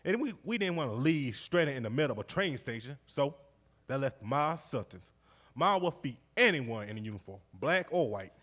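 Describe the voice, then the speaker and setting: Man playing jovial Southern veteran, lively swinging pitch. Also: normal speed. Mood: reminiscing, earnest. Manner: exuberant, folksy, chuckling. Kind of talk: live stage storytelling monologue, conversational. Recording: live stage recording in recreation hall.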